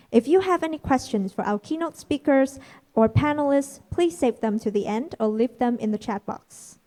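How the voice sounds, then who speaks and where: A woman's voice: on the high side, a little mellow. A woman emcee reading formal event announcements and introducing the keynote speaker. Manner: formal, reads announcements smoothly. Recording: reverberant hall with music behind.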